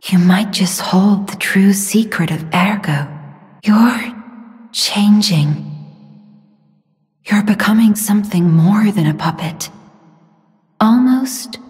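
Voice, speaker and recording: An actress playing a gentle, mysterious and sorrowful young woman in a video game, speaking softly and earnestly with a breathy, measured delivery. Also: lowish voice. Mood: concerned, wondering. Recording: long reverb, faint music behind.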